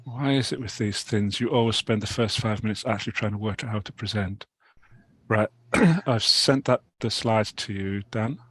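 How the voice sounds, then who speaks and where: A middle-aged British man with a cold, giving a talk over a video call, halting with many restarts. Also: fairly low pitch, steady tone, a bit bright, slightly rough. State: has a cold, clears throat. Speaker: guest speaker. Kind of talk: online talk presentation.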